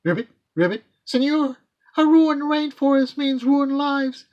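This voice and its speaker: A man voicing a small tree frog that pleads urgently, squeaky and loud. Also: measured pace, lo-fi sound. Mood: pleading.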